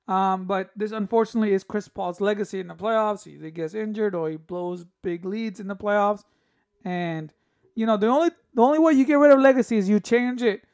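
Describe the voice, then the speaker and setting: A man hosting a solo sports podcast, talking casually and giving opinions, his voice raspy from a cough. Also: sits high. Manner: casual, opinionated, thinking aloud with fillers. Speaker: podcast host.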